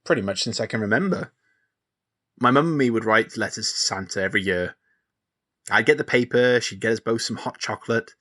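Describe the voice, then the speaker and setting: A man's voice: fairly low pitch, brisk, fairly bright tone. An actor playing an earnest, sentimental young man in an audio drama, speaking sincerely like a lonely true believer. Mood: earnest, nostalgic. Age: young adult. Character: earnest, sentimental grown man who still believes in Santa. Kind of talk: naturalistic audio drama dialogue.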